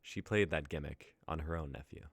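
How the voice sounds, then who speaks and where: A man's voice: a bass voice, normal speed, no room sound. A young man reading scripted story narration and stage directions as the narrator of a dramatic reading. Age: young adult.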